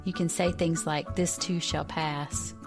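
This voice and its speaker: A woman of about fifty from Western North Carolina reading a personal essay in a measured, reflective way. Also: deep voice, fairly even intonation, music in the background. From Western North Carolina, USA. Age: around 50.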